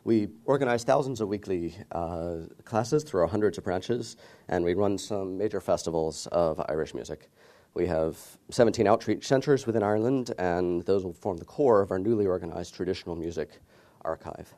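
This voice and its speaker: Low, mellow-voiced man giving a talk. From United States. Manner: measured, with frequent "uh" fillers. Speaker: conference speaker. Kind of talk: conference presentation.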